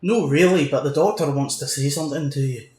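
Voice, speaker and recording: A man's voice: a little high, fairly quick, warm tone, natural voice. Man acting a cocky older soldier, echoey recording. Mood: brash, tough. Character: gruff, cocky older male soldier, a grandfather. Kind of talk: fan audio drama acting. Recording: echoey, reverberant room sound.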